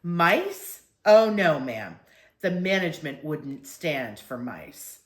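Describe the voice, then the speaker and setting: A woman's voice: low-pitched, big pitch swings, takes it slowly, reverberant, heavily acted. A woman reading a storybook and voicing a polite hotel bellboy, courteous and reassuring.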